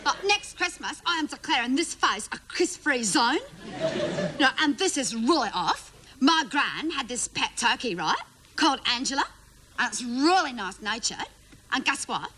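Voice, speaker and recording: A woman's voice: high-pitched, sing-song, moderate pace, sharp tone, slight background noise, heavily acted. A woman playing a naive, chatty, indignant schoolgirl in a comedy monologue, running on breathlessly and mimicking her family's words. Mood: chatty, aggrieved. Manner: breathless run-on gossip with mimicked family quotes.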